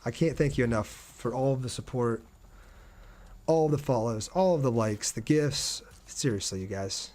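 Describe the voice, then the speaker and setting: Casting: American male game streamer, mid-pitched voice, informal and conversational, talking straight to his live audience during play. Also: moderate pace, darkish tone. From Ohio, United States. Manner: conversational, addresses viewers directly. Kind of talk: casual gameplay livestream chat.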